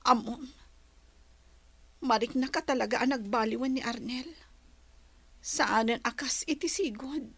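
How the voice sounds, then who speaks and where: A woman's voice: a little high, a little slow, long pauses, husky, no echo. A woman playing a heartbroken young woman in an Ilocano radio drama, speaking plaintively with a Filipino accent. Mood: dejected. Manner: plaintive, emotional. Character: heartbroken young woman pleading with her boyfriend.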